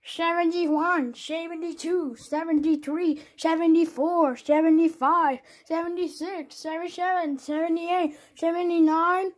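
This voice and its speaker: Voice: very high voice, fairly even intonation, a little slow, few pauses. An amateur performer doing a playful homemade cartoon dub, counting numbers aloud. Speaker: amateur fan voice-over performer.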